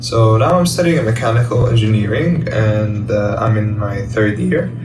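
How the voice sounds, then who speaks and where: A man's voice: on the low side, animated, normal speed. A man, giving an informal personal testimonial as a university student. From Jordan. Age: early 20s. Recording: roomy, distant mic, background music.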